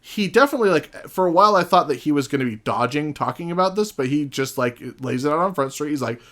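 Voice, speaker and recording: A man in a casual podcast discussion, recounting stories in an animated, profane way as a guest. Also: on the high side, quick pace.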